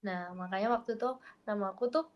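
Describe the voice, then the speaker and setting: A woman's voice: medium pitch, steady tone, fast, dull audio, slight background noise. A young woman with a mixed Makassar accent, chatting and telling family stories on a video call, laughing easily. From Makassar, Indonesia. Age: young adult. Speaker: video chat stranger. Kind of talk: casual chat.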